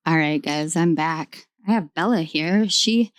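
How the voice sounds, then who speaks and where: Hoarse woman with a low, dry voice. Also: dry recording. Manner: rambling, affectionate, many ums, talks to her pets. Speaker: tarot reader. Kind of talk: casual tarot reading to camera. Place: at home in a room.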